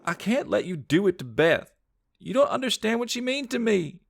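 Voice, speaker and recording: A man's voice: very animated, moderate pace, gravelly, no room sound, studio quality, heavily acted. A man voicing a frightened young woman who pleads through tears. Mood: desperate. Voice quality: raised falsetto-like pitch.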